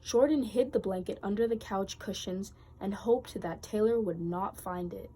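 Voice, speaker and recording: A woman reading a children's picture book aloud as a storytime host, with deliberate, expressive storybook delivery. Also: mid-range voice, slight background noise.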